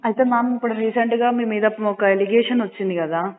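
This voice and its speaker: A reporter politely and haltingly asking for comment over a phone line, repeating words. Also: medium pitch, steady tone. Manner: deferential, stammering repeats. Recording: tinny recorded phone line.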